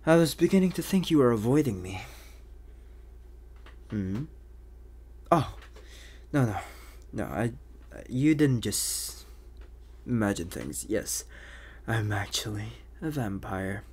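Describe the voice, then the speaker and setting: A man voicing a suave, menacing, flirtatious vampire bounty hunter in an ASMR roleplay, teasing in breathy half-whispers with lingering pauses. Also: lowish voice, animated, darkish tone, a little hiss or hum. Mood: teasing, sly. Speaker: voice actor.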